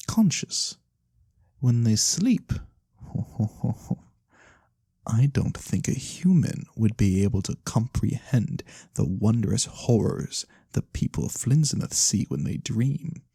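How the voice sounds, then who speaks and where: Soft, husky, mysterious fantasy lorekeeper, played by an ASMR performer. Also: mid-range voice, very animated, no echo, studio quality, exaggerated voice. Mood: eerie. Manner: theatrical, coy, deliberate pauses. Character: sly mysterious nonhuman lorekeeper, fortune-telling storyteller for coin. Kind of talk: soft-spoken ASMR fantasy roleplay, storytelling.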